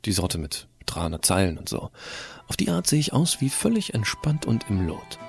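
A man's voice: deep voice, very animated, normal speed, music in the background. A man narrating as a sardonic, self-assured teenage hacker in a first-person audio drama, casual and wry. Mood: confident. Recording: clearly audible hiss.

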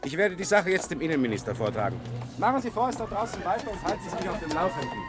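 An actor playing a sceptical police commissioner in a radio play, official and insistent. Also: male voice, high voice, very animated, racing, loud music behind the voice. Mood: firm, official.

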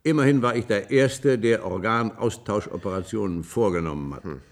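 An actor playing a proud, bitter, ailing old ex-surgeon in a radio play, earnest and stubborn, hesitant then insistent. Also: male voice, lowish voice, moderate pace, close to an everyday voice. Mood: stubborn, grave. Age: older. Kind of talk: German science-fiction radio play acting.

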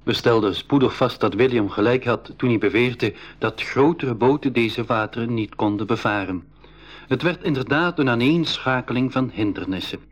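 An actor narrating an adventure in the first person as an expedition member, with measured, scripted storytelling. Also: male voice, mid-range voice, natural voice. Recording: dull 1980s radio recording.

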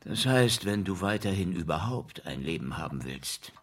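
An actor playing a wry, world-weary middle-aged investigator in a noir radio drama, dry and laconic, with a gravelly voice. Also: male voice, low voice, sing-song, moderate pace, a bit bright, full character voice. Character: wry, hard-boiled, world-weary older investigator in Moscow. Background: music.

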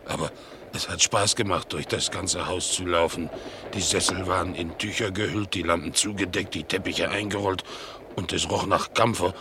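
A man playing a naive, excitable bulldog in a radio play, telling his tale expressively and mimicking the people he quotes. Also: moderate pace, natural voice. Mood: eager, chatty. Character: excitable, naive male bulldog, chattering about his household. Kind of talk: German radio play acting. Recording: clearly noisy, train rumble behind. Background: vehicle rumble.

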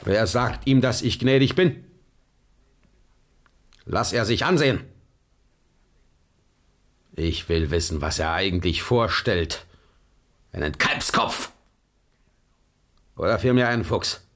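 A man's voice: on the low side, expressive, slow pace, pauses often, fairly bright tone. An actor playing a tyrannical, choleric middle-aged Prussian king in a German radio play, with an imperious, blustering manner. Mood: scornful, threatening.

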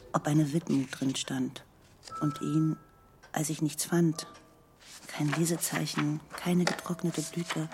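Low gravelly woman narrator, slow measured pace, pensive, hushed, introspective radio play monologue, music underneath. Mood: pensive, remembering. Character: pensive woman narrator recalling childhood and lost love.

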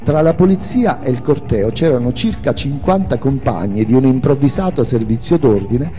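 A man giving a dramatic first-person memoir reading for the theatre, in measured narration that rises to declaim. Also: mid-range voice, animated, dark tone. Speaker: reader and narrator. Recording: long hall reverb, music underneath. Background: music.

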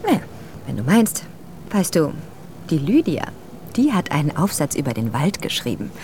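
Bossy schoolteacher, swooping and animated. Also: female voice, deep voice, slight background noise. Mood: condescending. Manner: brisk, scolding, talks down to children. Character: bossy, impatient female teacher chaperoning schoolchildren. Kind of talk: radio drama acting.

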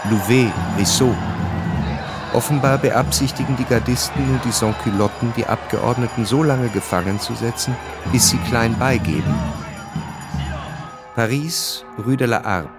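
A man's voice: on the low side, lightly acted. A man narrating a historical radio play in a sober, scripted manner.

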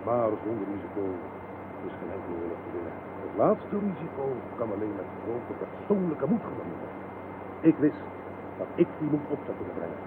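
An actor playing a pompous, fanatical, self-glorifying general in a radio play, with a grandiose, speech-making delivery. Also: male voice, lowish voice, very animated, fairly quick. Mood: lecturing, self-righteous. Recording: noisy, dull 1970s radio recording.

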